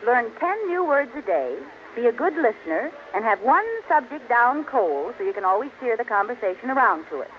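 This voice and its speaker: An actress playing an eager, scatterbrained housewife who shows off misused big words in a theatrical 1940s radio sitcom style. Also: female voice, a little high, big pitch swings, fairly quick, few pauses. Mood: eager, proud. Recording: muffled, hissy old radio broadcast.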